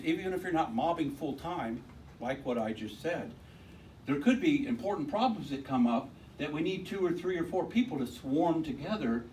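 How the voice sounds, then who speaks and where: A man with a loud voice giving an informal technical talk at a meetup, rambling and restarting his sentences. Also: medium pitch, distant mic, slight background noise, poor audio. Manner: rambling, with many restarts and repeated words. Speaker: conference speaker. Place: lecture room at a tech meetup. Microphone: handheld mic, often held away from the mouth.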